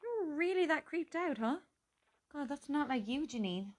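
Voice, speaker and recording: An actress with an Irish accent playing a teasing, sweary, reassuring friend in a naturalistic radio drama. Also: female voice, high-pitched, expressive, normal speed.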